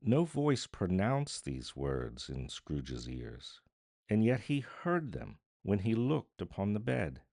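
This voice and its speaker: A man narrating literature as an audiobook reader, theatrical, deliberate and solemn. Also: fairly low pitch, huge pitch swings, gravelly, darkish tone, no echo, studio quality.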